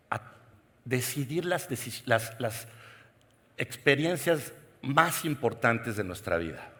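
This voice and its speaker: A man's voice: medium pitch, fairly bright tone. A middle-aged man from Mexico with a Mexican Spanish accent, giving a personal conference keynote talk to a large audience. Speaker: keynote speaker. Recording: long hall reverb.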